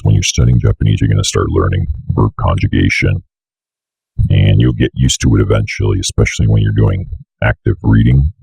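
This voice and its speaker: Very deep, gravelly young man. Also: a little mellow, no echo, low-quality recording. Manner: informal, offhand, swears casually. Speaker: tutorial host. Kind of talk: casual screencast tutorial. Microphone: close desk microphone on an arm.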